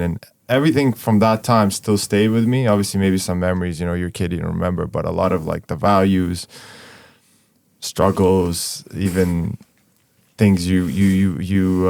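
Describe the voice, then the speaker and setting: A young man from Ukraine speaks as an interview guest in a very low voice. He talks in a relaxed, rambling way, with many fillers and restarts. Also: vocal fry. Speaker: guest, artist. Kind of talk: casual interview talk.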